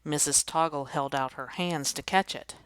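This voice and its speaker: Brief: woman narrating a picture book, clear and expressive, dry close sound. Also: sits low, normal speed, no room sound. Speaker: storybook reader. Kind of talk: children's picture book read-aloud.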